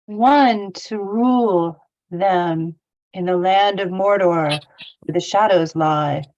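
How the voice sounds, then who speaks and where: A woman's voice: on the low side, slow pace, darkish tone, highs cut off. A woman playing an earnest, anxious young male hobbit in a table read of a fantasy film script. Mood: entranced.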